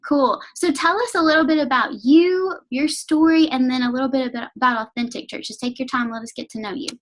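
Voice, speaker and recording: A woman hosts a casual interview with a bright, high voice. She sounds upbeat and gushing. She draws out her words in a friendly way. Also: quick pace. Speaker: host. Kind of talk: interview host chat.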